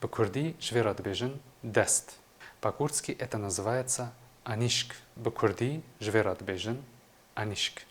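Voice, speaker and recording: Low-voiced man teaching. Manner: measured, explains each phrase then translates it. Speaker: language teacher. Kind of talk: scripted video lesson.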